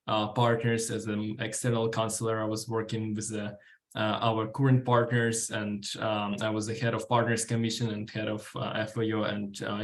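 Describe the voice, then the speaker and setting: A man in his twenties with a Ukrainian accent, speaking informally and haltingly as a guest at a workshop. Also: fairly low pitch. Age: 20s. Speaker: guest speaker, student delegate. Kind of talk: informal workshop talk answering questions.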